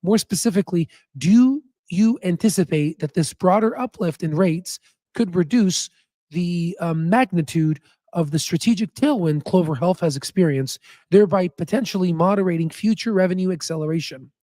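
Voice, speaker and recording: A man who hosts a stock market channel, hoarse with his voice nearly gone, talking in a casual rambling way with many fillers and restarts. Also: high-pitched, normal speed, dark tone, dry recording. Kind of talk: casual chat and stock analysis.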